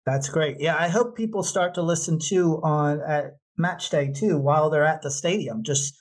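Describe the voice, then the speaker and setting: A man's voice: fairly high pitch, moderate pace. A man with an American accent interviewing a guest casually as podcast host, informal, agreeable and encouraging.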